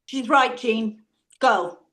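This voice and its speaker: A woman's voice: fairly high pitch, takes it slowly, fairly bright tone. A woman at a script table read, playing a brash, streetwise, scheming tough woman. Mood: bossy.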